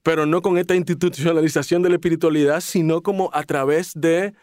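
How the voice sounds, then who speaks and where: A man's voice: on the high side, steady tone, quick pace, a little mellow, no echo, clean studio sound. A man musing thoughtfully in a casual conversation. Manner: reflective, thoughtful with many restarts.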